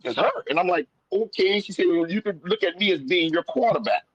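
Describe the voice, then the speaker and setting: A man with a notably high voice talks in a casual, folksy way, as a guest sharing his own story. He is animated, often doubles back on his phrases and acts out both sides of conversations. The sound is dry, with little room to it. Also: a little fast, no echo, muffled recording.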